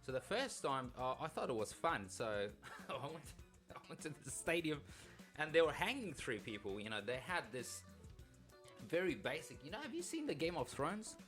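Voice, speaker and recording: A man in his thirties from Afghanistan, a podcast co-host telling his life story in a halting, nervous way with many restarts. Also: on the high side, big pitch swings, a bit bright, soft background music. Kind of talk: casual storytelling chat.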